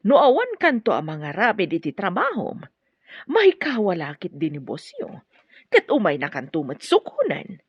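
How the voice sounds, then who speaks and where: Husky, animated, pushy mother. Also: mid-range voice, moderate pace, no echo, exaggerated voice. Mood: coaxing. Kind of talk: Ilocano radio drama acting. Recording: dull lo-fi audio.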